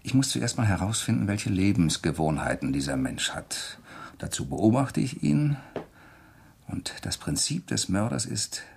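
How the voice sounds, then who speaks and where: An actor playing a polite, coolly probing male writer who deliberately provokes, in a German crime radio play. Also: lowish voice, big pitch swings, vocal fry, a little mellow, slight background noise, full character voice. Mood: insinuating, intense.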